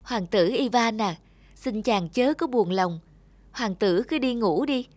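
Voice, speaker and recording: A woman voicing a gentle, reassuring female frog princess in a storybook cartoon, tender and courtly. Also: a little high, expressive, takes it slowly, no echo. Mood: soothing.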